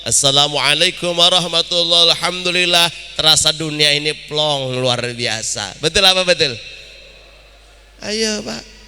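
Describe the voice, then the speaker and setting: A man's voice: fairly high pitch, unhurried, sharp tone, clean tone. A man preaching in a mosque, teasing and joking with his listeners as he talks to them directly. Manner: humorous, teasing, addresses audience directly. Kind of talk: mosque sermon. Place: mosque hall. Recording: long hall reverb.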